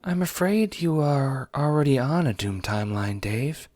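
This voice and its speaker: A man voicing a blunt female alien troll time traveler in an audio drama, speaking formally and with measured care. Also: medium pitch, lively intonation, heavy background noise, close to an everyday voice. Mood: grave, explanatory. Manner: formal, measured, few contractions.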